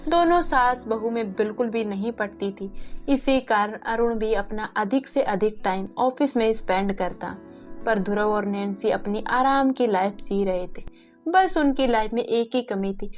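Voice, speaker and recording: A woman's voice: mid-range voice, a little slow, a little mellow, faint music behind. A woman narrator reading a scripted family story in a lo-fi recording. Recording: dull, lo-fi sound.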